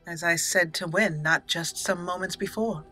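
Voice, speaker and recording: A woman's voice: on the low side, steady tone, normal speed, bright, forceful tone, soft background music, poor audio. A woman hosting a casual, chatty roleplay let's play, sometimes reading dialogue theatrically. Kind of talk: casual game commentary with roleplay.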